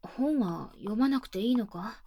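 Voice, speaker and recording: A woman voicing an earnest, bashful high-school girl with stiff, boyish phrasing in an intimate binaural ASMR voice drama, close to the microphone. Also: animated, dark tone. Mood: embarrassed, reluctant. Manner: stiff, boyish phrasing, shy and halting, often dropping to a whisper.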